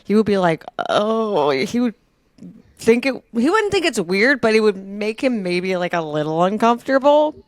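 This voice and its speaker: A woman's voice: medium pitch, sing-song, normal speed, no room sound, studio quality. A woman chatting casually as a podcast co-host, telling stories in a rambling, self-deprecating, comedic way. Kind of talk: casual comedic storytelling among friends.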